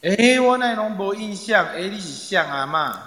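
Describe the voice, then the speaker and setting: A man's voice: high voice, lively intonation, normal speed, pushed and bright. A man playing a cheeky, baffled tour guide in an audio drama, teasing and exclaiming in Taiwanese-accented Mandarin. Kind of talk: live audio drama acting. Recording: echoey, reverberant online audio room.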